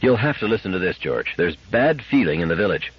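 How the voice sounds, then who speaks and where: A man's voice: sits low, big pitch swings, a little fast, husky, heavily acted. A man voicing an anxious, insistent wife and mother in an audiobook story. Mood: insistent, worried. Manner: insistent, argumentative. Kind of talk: audiobook character acting. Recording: dull lo-fi radio-like audio.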